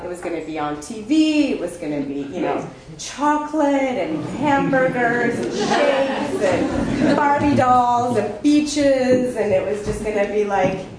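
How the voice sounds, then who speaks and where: A woman's voice: on the low side, noisy background. Candid woman from Ethiopia, echoey room. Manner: halting, many fillers and restarts, candid. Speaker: speaker sharing her story at a recovery meeting. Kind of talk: unprepared personal testimony. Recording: echoey room with faint ambience.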